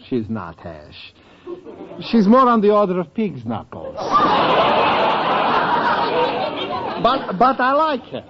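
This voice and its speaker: An actor playing an impish older European professor, affectionate and teasing, delivering theatrical punchlines in a 1940s radio comedy. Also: male voice, a little high. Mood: mischievous. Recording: dull, noisy vintage radio recording, studio audience.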